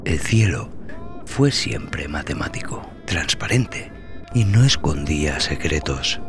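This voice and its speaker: Slow, deep-voiced man narrating over music. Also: big pitch swings. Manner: solemn, theatrical, deliberate. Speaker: podcast host and narrator. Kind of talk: dramatic scripted narration.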